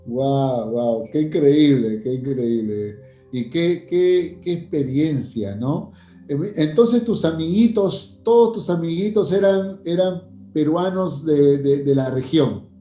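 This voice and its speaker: A man's voice: a little high, slow, mellow, echoey room, faint music behind. A man interviewing a guest in casual chat, affirming and asking follow-up questions.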